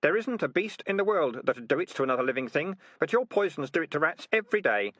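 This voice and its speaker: A man voicing a calm, sardonic young male character in a storybook, questioning in a measured, pointed, dry manner. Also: fairly high pitch, no room sound, pitched above the performer's own voice. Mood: calm, menacing.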